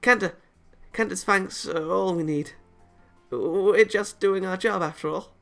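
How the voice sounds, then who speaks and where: A man's voice: high voice, measured pace, vocal fry, a bit bright, faint music behind, pitched above the performer's own voice, full character voice. A man voicing a determined, easily flustered young girl from a visual novel, in an earnest manner.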